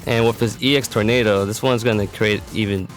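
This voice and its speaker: A man's voice: fairly low pitch, fairly even intonation, brisk. A man giving matter-of-fact, instructional commentary for a fighting game tutorial. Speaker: competitive gamer presenting a character demo. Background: music.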